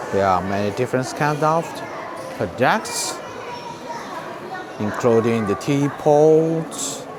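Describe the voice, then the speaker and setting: A man from China with a Chinese accent narrates an informal museum tour vlog in halting, simple non-native English. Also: mid-range voice. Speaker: vlogger giving a museum tour. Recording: clear background noise, on-location ambience.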